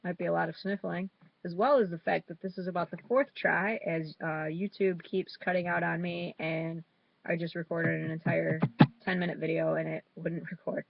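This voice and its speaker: A woman's voice: fairly low pitch, steady tone, moderate pace, gravelly, dry recording, lo-fi sound. A young woman with a head cold and a stuffy nose, informally presenting a student project report with some hesitant fillers.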